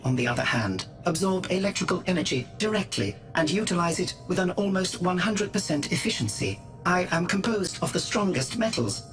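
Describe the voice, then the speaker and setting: An actor in a radio drama playing a self-assured robot prophet, coolly logical and devout, speaking in a formal, measured, preaching way. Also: male voice, high-pitched.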